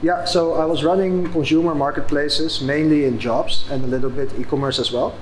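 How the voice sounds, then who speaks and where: A man, a founder answering interview questions in a thoughtful, halting way. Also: fairly high pitch, fairly even intonation, slight background noise, low-quality recording. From Netherlands. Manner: thoughtful, many fillers and restarts. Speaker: startup founder and guest.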